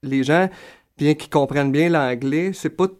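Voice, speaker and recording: A man's voice: fairly high pitch, a little slow. Young adult male musician, Quebec French accent, easygoing and reflective, loose conversational delivery. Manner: relaxed, thoughtful, with many fillers and colloquial Quebec turns. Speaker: singer-songwriter guest. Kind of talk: casual interview answers.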